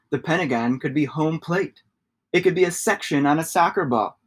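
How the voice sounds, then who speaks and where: A man, giving an expressive read-aloud of a children's story. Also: on the high side. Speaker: storybook reader.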